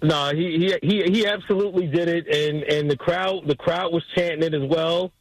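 Husky, animated American man. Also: fairly high pitch, moderate pace, no echo, faint music behind, poor audio. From Brooklyn, New York. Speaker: radio producer, guest sports fan. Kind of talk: casual chat.

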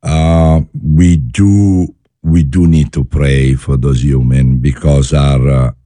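A man from Italy with an Italian accent, a chef talking as a studio guest in halting English, full of fillers and restarts. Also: extremely low pitch, steady tone, dark tone, dry recording. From Italy, born in Naples, raised in Milan. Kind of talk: studio interview.

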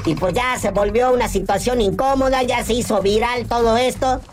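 A woman's voice: fairly high pitch, steady tone, normal speed, faint music behind. A woman with a Mexican accent presenting sports news in a chatty, slangy, playful way. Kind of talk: casual sports news commentary.

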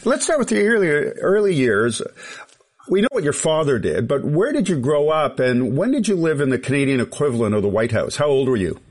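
A man's voice: a little high, very animated, brisk. A man hosting a radio interview show, asking curious questions with casual asides. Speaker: radio talk show host. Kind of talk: interview conversation.

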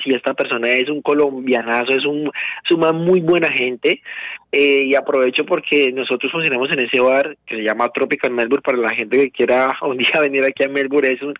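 A man's voice: fairly high pitch, fairly bright tone, dry recording, low-quality recording. A man, a salsa teacher, answering as a guest in a radio studio interview in an earnest storytelling way, often repeating words.

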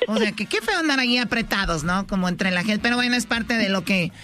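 High, bright-voiced teasing Mexican radio host man. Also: lively intonation, fast, slight background noise. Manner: teasing, mock-scolding. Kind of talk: casual call-in banter.

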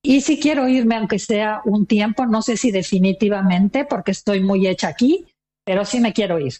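An older woman with a Mexican accent, chatting as an interview guest in a lively, proud way and laughing easily. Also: medium pitch, normal speed. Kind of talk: casual conversation.

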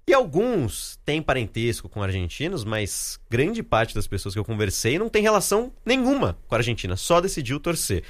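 A man giving a lively live field report. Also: fairly high pitch.

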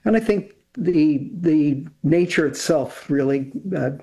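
A man's voice: a little high, slow pace, dark tone, breathy edge. An older Canadian man chatting as an interview guest over a video call, rambling with frequent restarts. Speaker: guest, composer and TV producer. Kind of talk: casual interview talk.

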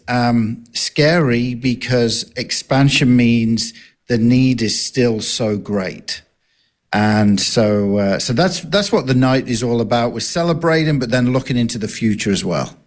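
A man's voice: mid-range voice, takes it slowly. Earnest accented man on radio. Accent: accent not native to the area. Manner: earnest, with frequent fillers and restarts. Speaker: radio guest, ministry director. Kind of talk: casual radio interview.

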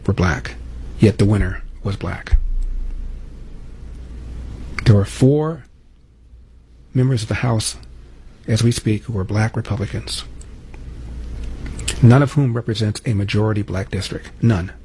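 A man's voice: lowish voice, a little slow, pauses often, warm tone, a little hiss or hum. An American man hosting political commentary, delivering an opinionated monologue with emphatic rhetorical questions.

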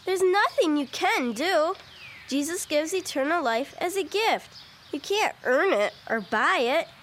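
Lady doing a sweet earnest church girl, really high bright voice, kids radio drama w music under. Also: lively intonation, unhurried, a little hiss or hum, full character voice. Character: earnest, devout young girl, eager and kind.

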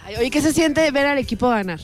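A woman's voice: high-pitched, animated, brisk, faint music behind. A woman with a Mexican accent hosting casual on-location interviews with fans in a playful, teasing, chatty way. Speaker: radio promotion host interviewing football fans.

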